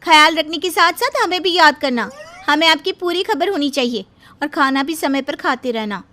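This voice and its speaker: A woman's voice: high-pitched, lively intonation, normal speed, pushed and bright. A woman playing a young, outspoken, cheeky wife in a cartoon story. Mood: caring. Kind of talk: Hindi cartoon story voice acting.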